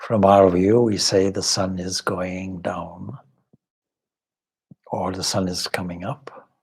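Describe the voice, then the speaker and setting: A man leading a guided meditation as a spiritual teacher, speaking in measured, deliberate short phrases with long pauses and formal, contemplative wording. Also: low-pitched, level tone, gravelly, a little mellow, slightly rough. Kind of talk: guided meditation, slow spoken instruction.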